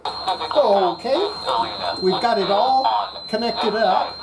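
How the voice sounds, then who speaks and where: An electronics restorer giving an unscripted hands-on repair demonstration, thinking aloud in a halting way. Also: medium pitch. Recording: clear background noise.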